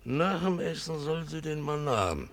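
A man's voice: a little high, big pitch swings, moderate pace, dry recording. An actor playing an evasive, cornered colonial trader in a radio drama, theatrical and insistent, repeating his phrases. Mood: mocking, stalling. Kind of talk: German radio drama acting.

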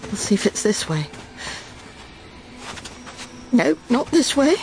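A woman's voice: fairly high pitch, very animated, slow, slight background noise, heavily acted. An actress playing a proper middle-aged Englishwoman in a radio drama, with an English accent. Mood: anxious. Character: smug middle-aged Englishwoman, lost and breaking down.

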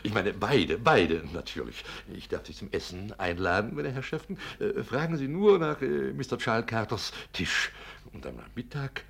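Radio play swindler: low, creaky, flustered gallantry, stammering and effusive. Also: male voice, big pitch swings, normal speed, a bit bright, exaggerated voice. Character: flustered, courtly male confidence trickster posing as a gentleman. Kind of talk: 1950s German radio play acting.

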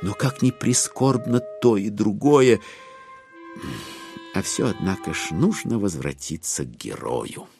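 A man narrating a classic novel as a theatrical audiobook, in a wry, expressive way with deliberate pauses. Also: on the low side, vocal fry, soft background music.

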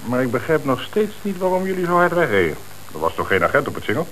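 A man acting a stern, shrewd police chief with a Netherlands Dutch accent and brisk authority, in a radio play. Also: medium pitch, very animated, fairly bright tone, low-quality recording. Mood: stern, probing. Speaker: actor. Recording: hissy old radio recording.

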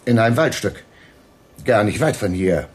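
An actor playing a folksy, apologetic small-town police sergeant in a radio drama, with a hesitant, roundabout way of talking. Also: male voice, lowish voice, expressive, moderate pace, natural voice. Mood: chatty. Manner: hesitant, roundabout, colloquial, chatty.

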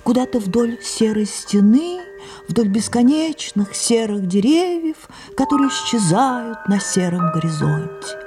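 An actress voicing a mischievous, sulky, tearful young girl in an audio drama, theatrical and breaking into breathy whispers. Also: a little high, animated, unhurried, over music. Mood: tearful, fearful. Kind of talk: audio drama stage acting.

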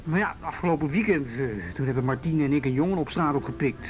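A man's voice: fast talker, soft background music, lightly acted, low-quality recording. An actor playing an earnest policeman who defends the force, talking casually and colloquially in a radio drama. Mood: defensive, earnest. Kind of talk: Dutch radio drama acting. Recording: radio play with faint rumble.

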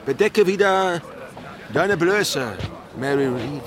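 An actor playing a brash, blustering pirate captain in a theatrical radio drama manner. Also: male voice, a little high, expressive, slow, over a noisy crowd. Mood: brisk, flustered. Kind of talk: German radio drama acting.

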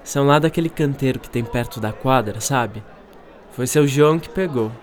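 A man's voice: medium pitch, moderate pace, natural voice. A man playing a cheeky, teasing schoolboy in a radio drama, talking in casual slang. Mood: casual, puzzled. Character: Marquinho, cheeky teasing schoolboy, poor at maths. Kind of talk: scripted radio drama acting.

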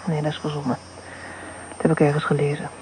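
A quiet questioner drawn to dark thoughts, voiced by an actor, speaks in hushed, half-whispered lines in a radio play. The voice sits mid-range, with a light hiss behind it. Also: medium pitch, monotone, fast, natural voice, low-quality recording. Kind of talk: radio drama within a radio broadcast.

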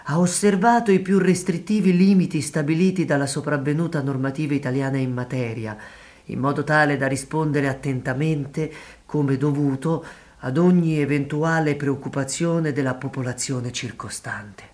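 Formal, defensive official spokesman, higher-pitched male actor. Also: moderate pace, a little mellow, natural voice. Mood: formal, firm. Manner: formal, official statement-reading.

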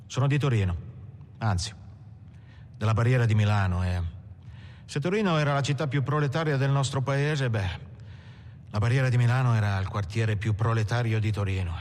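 An actor playing a proud working-class bank robber from Turin, declaiming emphatically in a film monologue with long reverb. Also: male voice, medium pitch, a little fast, pauses often, darkish tone, natural voice. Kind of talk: dramatic monologue in a docudrama film. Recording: long hall reverb, faint noise.

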